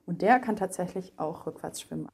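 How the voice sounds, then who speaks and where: A woman's voice: on the low side, a little fast, creaky, a little mellow, slightly rough. A woman speaking as an animal expert, explaining things spontaneously and with emphasis for a young audience. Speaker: animal expert being interviewed.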